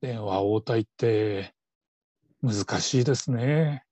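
A woman's voice: very deep voice, slow pace, dark tone, no room sound, full character voice. A woman playing a nervous, humble call-centre trainee in her fifties in a radio drama, stammering and stiffly over-polite. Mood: humble, relieved. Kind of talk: Japanese radio drama acting.

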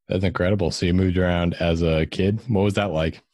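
A man's voice: deep voice, fairly even intonation, brisk, a little mellow, dry recording, studio quality. A man hosting a podcast, asking friendly questions with lots of fillers. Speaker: podcast host. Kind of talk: casual interview questions.

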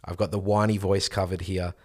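A man's voice: low-pitched, level tone, a little fast, darkish tone. A man co-hosting a casual podcast, telling jokey stories. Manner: joking storyteller. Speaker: podcast co-host. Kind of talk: casual chat.

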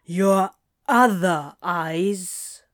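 A woman voicing a swaggering, sardonic male demon in an audio story, with a drawling, forceful delivery. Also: lowish voice, steady tone, slow pace, voice pushed down. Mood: accusing.

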